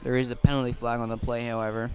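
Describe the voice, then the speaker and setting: A male play-by-play commentator describes a football game live for radio. His voice sits in a medium register with little melodic movement. He reports in short, measured, matter-of-fact phrases. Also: mid-range voice, level tone.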